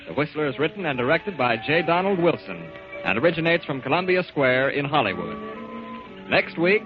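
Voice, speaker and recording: A muffled 1940s broadcast with loud music behind. A male network announcer reads formally in a fairly high register. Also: very animated, brisk.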